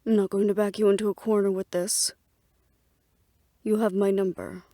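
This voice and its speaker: A woman acting a guarded, businesslike plotter in an audio roleplay drama, speaking in a measured, serious way. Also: medium pitch, level tone, dark tone, no echo, clean studio sound, close to an everyday voice. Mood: measured, sincere.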